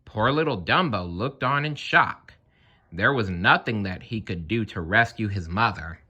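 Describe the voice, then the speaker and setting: A man reading a children's story aloud in an expressive, animated narrating style. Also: lowish voice, a little slow, breathy edge, dull audio. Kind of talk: children's read-aloud narration with playful asides.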